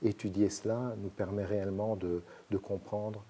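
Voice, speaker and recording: A man from Belgium, a sociologist explaining his research in measured, hesitant sentences. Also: on the low side, little pitch movement, mellow, slight background noise. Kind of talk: academic interview answers.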